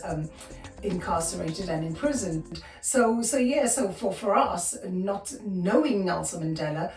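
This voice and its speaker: A South African woman in her fifties, a podcast guest telling her life story with many pauses, fillers and restarts. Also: fairly low pitch, darkish tone, echoey room, recorded from a distance, poor audio. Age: 50s. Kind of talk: personal storytelling interview.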